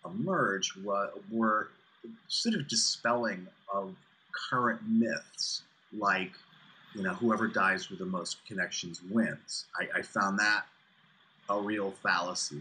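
A man in his fifties explaining things emphatically and deliberately as an interview guest on a video call. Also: low voice, sharp tone, slightly rough, poor audio. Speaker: guest, author and executive coach. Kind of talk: interview answers on business networking. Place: small furnished room indoors, on a video call. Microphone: video call, computer at desk distance.

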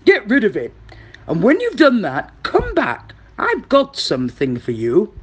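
A woman voicing a big, bossy male crocodile in a children's story, loud and emphatic. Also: medium pitch, huge pitch swings, measured pace, breathy edge, full character voice.

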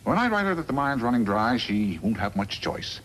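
An actor playing a smug, calculating crooked lawyer and boss in a 1950s radio western, with an insinuating manner. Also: male voice, medium pitch, big pitch swings, fairly quick, fairly bright tone. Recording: noisy vintage 1950s radio recording.

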